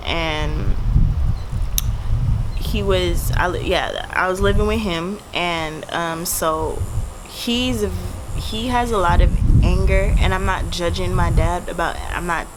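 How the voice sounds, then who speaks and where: A woman's voice: fairly low pitch, measured pace, noisy background. A twenty-year-old woman from Jamaica with a Jamaican accent, telling a personal story to camera in a casual, blunt and profane way. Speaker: vlogger.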